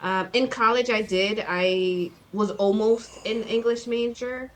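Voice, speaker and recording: A woman in her thirties, a guest author chatting casually in an interview, talking fast with lots of fillers and acted-out quotes. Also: on the high side, fairly even intonation, low-quality recording. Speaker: guest, children's book author.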